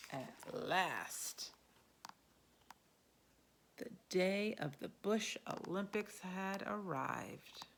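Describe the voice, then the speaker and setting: A woman reading a picture book aloud to young children in an expressive storytelling manner. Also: fairly low pitch, drawn-out words, creaky, long pauses, noisy background.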